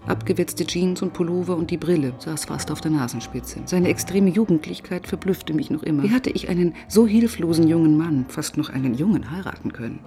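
A female police inspector in a radio crime play, with a lowish, mellow voice. She is measured, dry and probing, reflective and matter-of-fact in how she tells things. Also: animated, faint music behind. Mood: reflective narration. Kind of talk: German radio crime drama with first-person narration.

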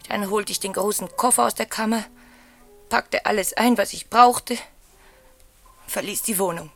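An actress playing a wounded, emotional wife in a German radio play, dramatic, dropping into hushed tones. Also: female voice, medium pitch, moderate pace, faint music behind, natural voice. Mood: subdued, hurt.

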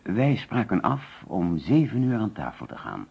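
An actor playing an anxious, courteous insurance agent under suspicion, speaking carefully and formally in a radio detective play. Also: male voice, on the low side, big pitch swings, measured pace, vocal fry, slightly rough, heavily acted. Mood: careful recounting. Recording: muffled old radio recording.

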